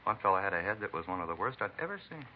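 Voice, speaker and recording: Banged-up American trucker, low voice, quick folksy rambling, eccentric know-it-all, vintage radio drama, muffled recording. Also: male voice, sing-song, slight background noise. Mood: confiding, opinionated. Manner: rambling, folksy, plain-spoken working man. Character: beaten truck driver, talkative, folksy, eccentric self-styled bump-reader. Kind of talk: 1940s-50s radio acting.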